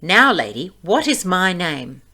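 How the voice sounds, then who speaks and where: A wily little storybook dwarf as played by a woman, with a very animated, swooping delivery. He stretches his words in an emphatic sing-song, like someone who loves to bargain and is quick to rage. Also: on the low side, exaggerated voice. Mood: gloating.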